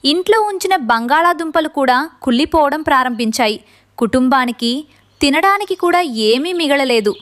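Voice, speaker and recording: A woman's voice: sits high, animated, moderate pace, fairly bright tone, no room sound. A woman narrating a scripted children's moral story.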